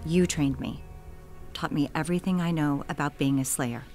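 A woman's voice: low voice, steady tone, moderate pace, a little mellow, faint music behind, close to an everyday voice. A woman playing a resolute heroine in a supernatural audio drama. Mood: grave, resolute.

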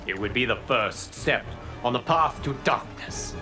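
A man voicing a wise, stern god in a video game, calm, formal and admonishing. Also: medium pitch, a little slow, close to an everyday voice. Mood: solemn warning. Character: solemn, wise male fire god, sternly sorrowful. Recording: music playing behind.

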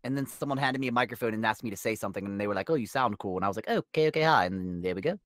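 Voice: medium pitch, racing, no echo, studio quality, lightly acted. A performer playing a vain, snide alien tyrant in a fan question show, with smug, sardonic, offhand delivery. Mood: casual, sardonic.

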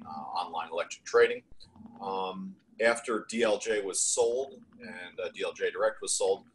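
A middle-aged American man from New Jersey presenting informally on a webinar in halting, measured phrases. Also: low-pitched, slight background noise, poor audio. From New Jersey, United States. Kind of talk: informal self-introduction on a webinar. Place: indoors on a video call.

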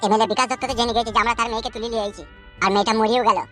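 A man's voice: very high voice, fairly even intonation, rapid-fire, sharp tone. A man voicing a foul-mouthed, jittery young gangster sidekick in a comedy cartoon, crude and blaming. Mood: panicked, blaming. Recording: music behind the voice.

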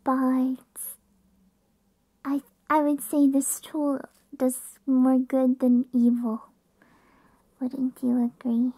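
A woman voicing a playful, affectionate, bratty puppy girl in an ASMR roleplay, cutesy and breathy, close to the microphone. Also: high voice, monotone, drawn-out words, long pauses, dark tone, no echo, heavily acted. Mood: playful, dreamy.

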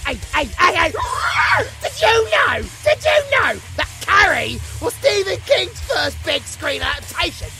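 Shrieking, manic vampire-werewolf maniac, British. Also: male voice, very high-pitched, very animated, measured pace, vocal fry, loud music behind the voice, full character voice. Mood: excited, rambling. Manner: shouting, rambling, cackling, fourth-wall-breaking trivia patter. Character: manic chaotic male undead vampire-werewolf half-breed, gleefully unhinged. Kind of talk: theatrical villain monologue in an audio drama.

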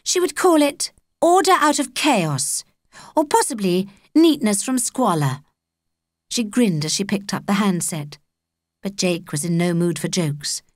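Animated British woman reading a story. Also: medium pitch, no room sound, studio quality. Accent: British English. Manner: expressive, measured storytelling. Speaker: audiobook narrator. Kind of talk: scripted children's story narration.

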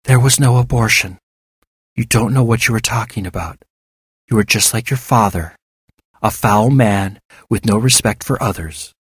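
A man's voice: fairly low pitch, husky, no echo, studio quality. Man playing a polite, careful male doctor. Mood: indignant. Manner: formal, polite, measured. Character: soft-spoken, polite, cautious male doctor in disguise.

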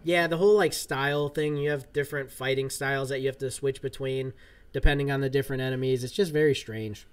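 A man's voice: medium pitch, steady tone, fast talker, clear, resonant tone. A man in his thirties chatting casually on a livestream from his home gaming room, rambling with lots of fillers. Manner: laid-back, rambling, many fillers. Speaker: livestream gamer. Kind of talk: casual livestream chat while playing.

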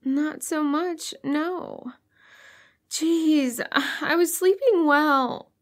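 Fed up wife scolding her husband, high voice, audio drama. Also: extremely slow, exaggerated voice. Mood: exasperated, scolding. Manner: complaining, scolding. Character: nagging, exasperated sleepy wife. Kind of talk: audio horror drama acting.

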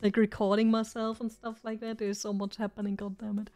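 A woman's voice: mid-range voice, completely flat delivery, a little fast, warm tone. A woman streamer chatting casually and rambling as she loses her voice. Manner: rambling, chatty, self-deprecating. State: losing her voice. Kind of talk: casual live-stream chat.

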